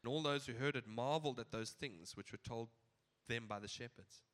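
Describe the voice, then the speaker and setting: A man's voice: medium pitch, fairly quick, fairly bright tone. A man preaching a sermon in a casual, chuckling way, his voice nasal from a blocked nose.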